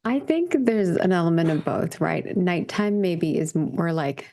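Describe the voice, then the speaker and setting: Low, creaky-voiced woman playing a tabletop game. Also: expressive, measured pace, warm tone. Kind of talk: casual in-game character description.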